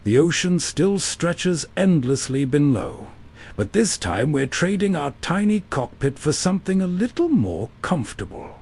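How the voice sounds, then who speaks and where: A man hosting with scripted, measured, scene-setting narration. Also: sing-song, creaky, a little mellow, slightly rough. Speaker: host. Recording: faint rumbling background noise.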